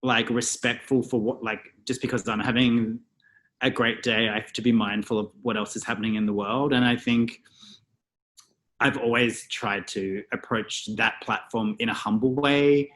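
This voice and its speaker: An Australian man in a casual interview, talking reflectively and thinking aloud with many pauses and fillers. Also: mid-range voice, steady tone, fairly bright tone. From Australia (Melbourne). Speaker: guest, stylist and photographer.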